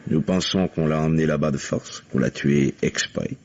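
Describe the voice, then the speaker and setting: An actor playing a stern, controlled police investigator who questions curtly and insistently in a vintage radio mystery. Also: male voice, extremely low pitch, moderate pace, a little mellow. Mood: grave. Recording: dull, lo-fi old radio recording.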